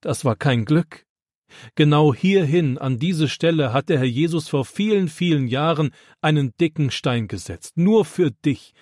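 A man voicing a kind, pious old grandfather on a mountain hike, gentle and instructive, in a dry close recording. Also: a little high, expressive, measured pace, dry recording, voice pushed up. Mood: tender.

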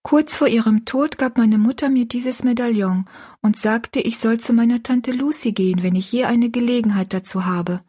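A woman voicing a timid, earnest little orphan girl in an audiobook story, with a soft, breathy voice. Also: on the high side, moderate pace, pitched above the performer's own voice. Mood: earnest, confiding. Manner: fearful, earnest.

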